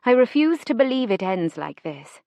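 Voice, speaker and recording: Woman doing a young 1940s sister in an audiobook, steady n practical, supportive, dry sound. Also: a little high, moderate pace, no room sound, voice pushed up. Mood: insistent. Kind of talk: audiobook character dialogue.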